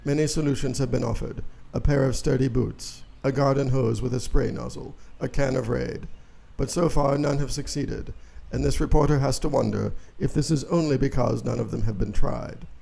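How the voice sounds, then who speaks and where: Self-serious anchor acted by a man for spoof news: gravelly, medium pitch, straight-faced and grave. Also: normal speed, low-quality recording. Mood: grave, ominous. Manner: deadpan, grave newsreader delivery. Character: earnest, self-serious male TV-style news reporter and anchor. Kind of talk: parody news broadcast.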